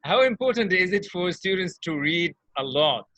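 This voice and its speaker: A man's voice: high-pitched, expressive, normal speed, sharp tone, dry recording. A man acting as interviewer, chatting casually, with a slightly lo-fi recording. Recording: dull lo-fi sound, dry.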